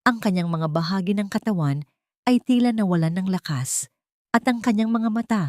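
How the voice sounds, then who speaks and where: A woman's voice: fairly low pitch, darkish tone, no room sound, clean studio sound. A Filipino woman reading a scripted dramatic story as its narrator.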